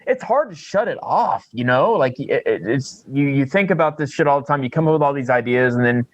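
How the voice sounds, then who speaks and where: A man's voice: medium pitch, expressive, brisk, dry recording, muffled recording. A man hosting a casual podcast chat in an informal, rambling, jokey manner. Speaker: podcast co-host.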